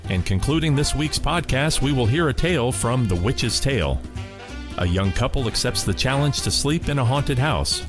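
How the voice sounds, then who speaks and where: A man's voice: mid-range voice, expressive, normal speed, music in the background. A man hosting a podcast, reading a scripted introduction to old radio shows. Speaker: podcast host.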